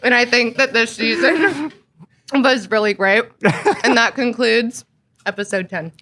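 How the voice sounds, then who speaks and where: Bright, giggly woman in her thirties. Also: a little high, unhurried. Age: 30s. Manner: giggly, playful teasing. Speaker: guest host, office coworker. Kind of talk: casual office banter.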